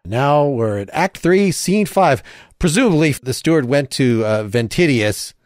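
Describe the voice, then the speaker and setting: A man's voice: mid-range voice, expressive, measured pace, no echo, studio quality. A man hosting a play reading, announcing stage directions and adding casual asides.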